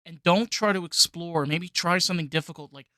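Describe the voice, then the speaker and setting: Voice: medium pitch, a little fast, sharp tone, no echo, studio quality. A podcast host from New York speaking casually and emphatically, leaning on filler phrases. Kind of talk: casual conversation.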